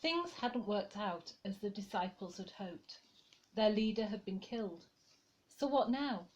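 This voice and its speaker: A woman reading a written church reflection aloud in a gentle, conversational way. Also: mid-range voice, lively intonation, a little slow, dull audio. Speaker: lay reader.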